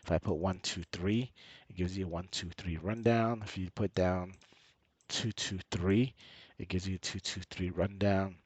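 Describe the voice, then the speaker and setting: A man with a low voice talks through an on-screen tutorial in a casual, hesitant way, often repeating himself. He sounds like he has a slight cold. The recording is close and dry. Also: fairly even intonation, moderate pace, slightly rough, no room sound. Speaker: channel host and tutorial presenter.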